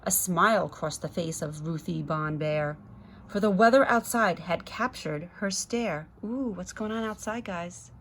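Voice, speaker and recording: A woman's voice: fairly low pitch, a little slow, a little hiss or hum. A woman reading a rhyming children's story aloud expressively, with chatty asides to young listeners. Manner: rhythmic, dramatic, addressing children. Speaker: guest storybook reader.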